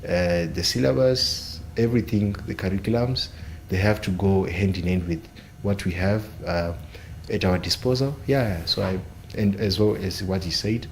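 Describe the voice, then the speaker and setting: Male panelist, low register, measured, filler-heavy delivery. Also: slight background noise. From Zimbabwe. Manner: measured, searching for words with many fillers. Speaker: panelist, software engineering student. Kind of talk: panel discussion.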